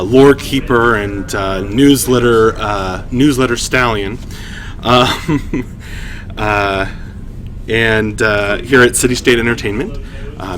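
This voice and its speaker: A man hosting a story reading, shifting between informal, halting chat and measured storytelling narration. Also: lowish voice, fairly bright tone, a little hiss or hum. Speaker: writer and host reading his own story aloud. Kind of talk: livestream story reading, narration with casual asides.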